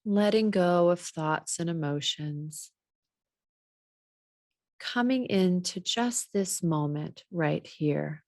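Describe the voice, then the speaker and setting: A woman guiding a body scan meditation in a measured, gently instructional way. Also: on the low side, no echo, studio quality. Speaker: meditation teacher.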